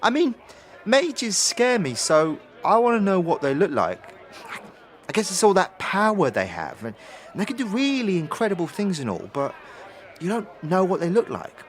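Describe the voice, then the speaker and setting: A man's voice: high-pitched, big pitch swings, normal speed. A man voicing a shy, lonely, eager young woman who rambles and stammers in a fantasy audio drama. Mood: eager, rambling. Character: shy, lonely, chatty, eager young female market girl.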